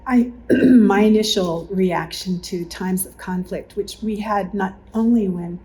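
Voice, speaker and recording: A woman reflecting on church life in a group interview, thoughtful and halting, correcting herself as she goes. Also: medium pitch, dark tone, poor audio. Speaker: church member recalling past challenges. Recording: faint background room noise.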